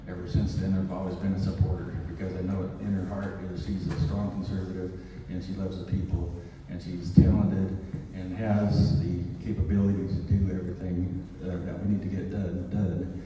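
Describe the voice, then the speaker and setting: A man with a fairly low voice gives a casual, halting introduction at a local gathering. His voice echoes around a reverberant room, heard from a distance. Steady background noise sits under him. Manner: halting, with fillers and restarts. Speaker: event organizer introducing a speaker. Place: event room. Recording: echoey room, far from mic, noisy.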